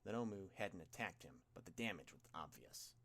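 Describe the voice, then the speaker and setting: A man's voice: deep voice, lively intonation, normal speed, a bit bright. A man reading fan fiction as an audiobook narrator, in a dramatic scripted style.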